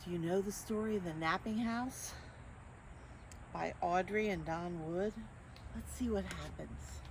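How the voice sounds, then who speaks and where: An older woman reading picture books aloud to young children, expressively, with drawn-out phrases and questions to her listeners. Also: on the low side, background noise. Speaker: children's storyteller.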